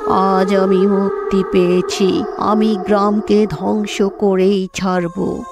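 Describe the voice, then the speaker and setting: A woman's voice: medium pitch, level tone, gravelly, music in the background, pitched below the performer's own voice. A woman voicing a wicked, menacing cartoon witch who gloats and drags out her threats. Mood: gloating, threatening. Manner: menacing, drawn-out gloating with cackling laughter. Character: wicked gloating witch scheming to destroy a village. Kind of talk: Bengali cartoon villain acting.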